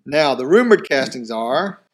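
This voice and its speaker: Male co-host, even and matter-of-fact, reads items plainly. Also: mid-range voice, unhurried. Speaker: podcast co-host. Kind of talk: casual chat, reading news items.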